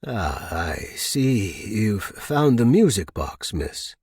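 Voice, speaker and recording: A man's voice: lowish voice, sing-song, takes it slowly, darkish tone, slightly rough, dry recording, studio quality, exaggerated voice. Elderly male butler, voiced by a man reading, stiffly courteous and dignified, for a storybook. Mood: proud. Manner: stiff, deferential, precise. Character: proud, formal, severe elderly male butler.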